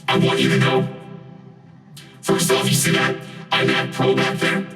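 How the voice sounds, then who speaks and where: A man's voice: unhurried, fairly bright tone, soft background music. A man talking through a musical vocoder effect that turns his speech into synthetic chords. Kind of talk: played clip of talk run through a vocoder. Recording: very echoey, distant, with musical tones.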